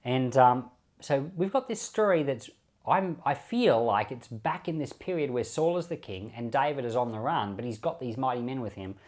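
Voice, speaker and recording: A man's voice: medium pitch, expressive, normal speed, a little mellow. A man with an Australian accent and a mid-range voice talks as a pastor on a Bible podcast. He explains scripture conversationally, circling back over short phrases as he makes his point. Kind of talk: informal Bible commentary and preaching.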